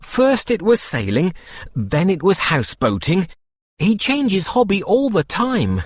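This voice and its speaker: A sensible, kindly water rat, voiced by a man reading aloud in a storybook. He speaks in a measured way, slowly, with a very lively, bouncing melody. The recording is dry and close. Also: high voice, no echo, pitched above the performer's own voice, exaggerated voice. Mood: calm. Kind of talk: audiobook character voice.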